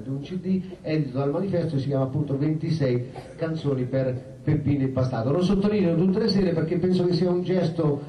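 A man's voice: fairly high pitch, recorded from a distance, background noise. A male musician informally introducing a song from a live stage, in an offhand, hesitant way. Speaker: musician addressing a live audience. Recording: roomy live stage sound, loud guitar.

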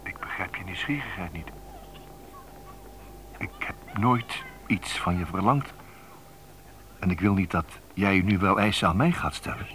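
An actor playing a weary, guarded stranger, aloof and firm on the telephone in a radio drama. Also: male voice, deep voice, a little slow, long pauses, a bit bright, slight background noise, low-quality recording.

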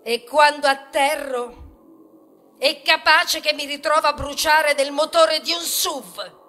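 A woman as humble grain of wheat, high voice, wistful, earnest, theatrical pauses, music behind. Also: fairly even intonation, pushed and bright, full character voice. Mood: indignant, exasperated. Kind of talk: theatrical monologue in a radio stage ballad.

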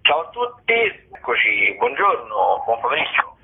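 A man giving a casual radio rundown as a theatre critic, wordy and halting with repetitions. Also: mid-range voice, big pitch swings. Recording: tinny phone line.

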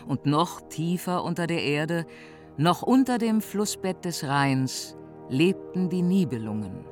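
A woman's voice: deep voice, animated, darkish tone. A woman playing a solemn earth mother goddess who narrates a myth in a German fantasy radio drama. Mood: mysterious. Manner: solemn, measured. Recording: studio narration over music.

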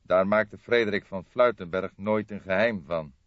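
A man voicing a courteous, apologetic gentleman in his forties in an audiobook, speaking formally and emphatically. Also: sits low, normal speed, no room sound, close to an everyday voice. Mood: formal, forthcoming. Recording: dull, dry lo-fi audiobook recording.

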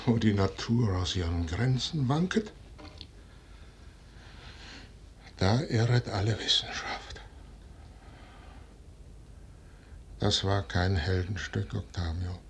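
An actor playing a proud, ambitious middle-aged general in a classical verse radio drama, declaiming imperiously. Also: male voice, fairly low pitch, lively intonation, measured pace, a little hiss or hum. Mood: defiant, bitter. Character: proud, ambitious general, commanding and fatefully self-assured.

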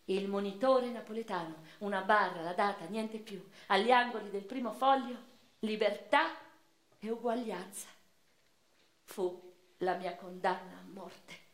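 A woman's voice: mid-range voice, lightly acted. Actress as an impassioned woman revolutionary, roomy sound. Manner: declamatory, literary, with deliberate pauses and emphatic swells. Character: impassioned, cultured woman revolutionary and newspaper writer of 1799 Naples. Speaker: stage and radio actress. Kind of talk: dramatic first-person theatrical monologue. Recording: roomy, reverberant theatrical recording.

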